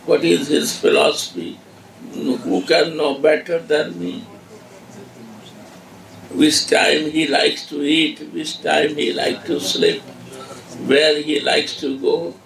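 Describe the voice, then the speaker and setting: A man playing a tearful, grieving mother of a divine child, pleading and reproachful in halting English. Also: a little high, gravelly, fairly bright tone, full character voice. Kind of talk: devotional dramatic monologue. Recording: echoey room, distant mic, background noise.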